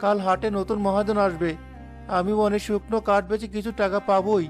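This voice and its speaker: A man acts a devoted poor young villager in a cartoon tale. His voice is high for a man and earnestly pleading, resolute underneath. Faint music accompanies it. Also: little pitch movement, fairly quick, close to an everyday voice. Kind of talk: cartoon drama dialogue.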